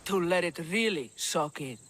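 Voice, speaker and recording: An actress playing a shrewd, unsentimental ancient Greek herb farmer in a video game, matter-of-fact in manner. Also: female voice, lowish voice, lively intonation, moderate pace, background noise, close to an everyday voice. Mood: shrewd, unapologetic. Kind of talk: video game cutscene acting.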